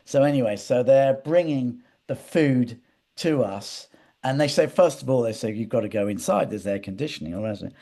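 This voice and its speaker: An interview guest, a man with a mellow, relaxed voice at an average pitch. He talks conversationally in rambling anecdotes, often repeating words and doubling back as he goes. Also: moderate pace. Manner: hesitant, repetitive, digressive.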